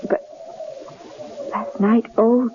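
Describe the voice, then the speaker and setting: An actress playing a vulnerable, earnest wife in a 1970s radio drama, speaking in a hesitant, emotional way. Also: female voice, fairly high pitch, level tone, very slow, narrow, tinny audio. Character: vulnerable, earnest wife confessing her feelings. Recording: thin, noisy old radio broadcast.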